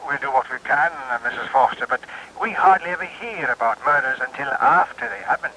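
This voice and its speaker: An actor playing a matter-of-fact, sceptical police desk sergeant over the telephone in a 1950s radio drama, polite and hesitant. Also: male voice, mid-range voice, expressive, a little fast, bright, forceful tone. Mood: sceptical, dutiful. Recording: noisy old radio recording, telephone line.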